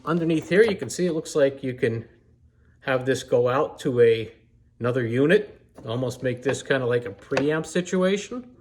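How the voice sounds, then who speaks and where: An American man giving a casual, unscripted product demonstration in a measured, explanatory way. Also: medium pitch, slight background noise. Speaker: vintage radio collector presenting a video review.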